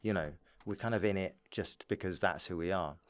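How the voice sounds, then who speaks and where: Low-voiced man chatting, close and dry. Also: brisk, dry recording. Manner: rambling, repeats words, laughs readily. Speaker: guest stand-up comedian. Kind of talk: casual chat.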